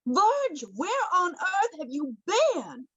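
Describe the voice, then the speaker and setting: A woman playing the excitable brother of the heroine in a radio play. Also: very high-pitched, slow pace, full character voice. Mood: excited. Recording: roomy, slightly dull recording.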